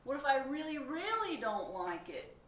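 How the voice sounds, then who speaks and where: A woman's voice: high voice, very animated, normal speed, fairly bright tone, pitched above the performer's own voice. A woman doing a whiny, begging cartoon pigeon. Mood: anxious. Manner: wheedling, begging, melodramatic. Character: pleading, whiny, excitable male pigeon. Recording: echoey room, distant mic, faint noise.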